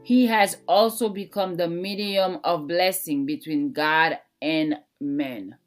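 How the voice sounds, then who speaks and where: A woman reads a devotional text in a fairly low voice, very slowly and deliberately. Each phrase is set apart with care, and soft plucked guitar plays faintly behind her. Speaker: devotional reader. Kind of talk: scripted devotional reading. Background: gentle plucked guitar music.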